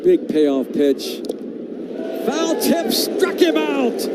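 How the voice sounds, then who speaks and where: A man making excited baseball play-by-play calls. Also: extremely high pitch, drawn-out words. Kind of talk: excited highlight call. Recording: very noisy stadium broadcast clip. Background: loud stadium ambience.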